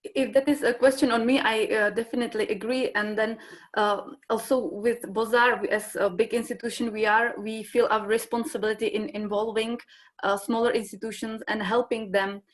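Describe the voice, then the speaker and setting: Hesitant woman panelist. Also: medium pitch. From Czech Republic. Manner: hesitant, many fillers and restarts. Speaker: conference panelist from a large arts institution. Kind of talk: panel discussion answer.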